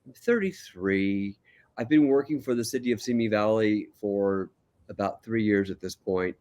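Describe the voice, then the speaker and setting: An older man, a calm and relaxed guest, telling his life story in a casual interview. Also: lowish voice, moderate pace.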